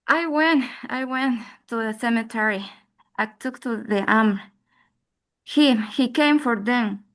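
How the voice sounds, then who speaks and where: A woman's voice: on the high side, takes it slowly. A woman playing a terrified, ashamed wife, weak and haunted, moaning and repeating her words in a drama. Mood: anguished, ashamed.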